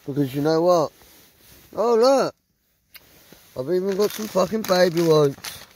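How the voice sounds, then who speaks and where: A man's voice: high voice, animated, unhurried, darkish tone, a little hiss or hum. A middle-aged man with a southern English accent, rambling bluntly and with plenty of swearing in a casual handheld vlog. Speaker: vlogger. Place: inside a small tent in the woods at night. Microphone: handheld phone at close range.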